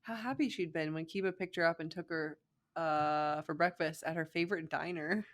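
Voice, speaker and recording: A young woman narrates fan fiction as a co-host. Her voice sits a little low for a woman. The style is informal story reading with room for casual asides. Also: normal speed.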